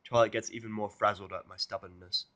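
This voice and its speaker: A man's voice: on the low side, steady tone, a little fast, fairly bright tone. A man reading a fan fiction story aloud as a scripted first-person narrator.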